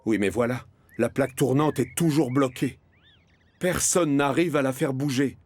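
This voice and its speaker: A man's voice: mid-range voice, normal speed, heavy background noise. A man reading scripted storytelling narration for a children's cartoon. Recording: music behind narration.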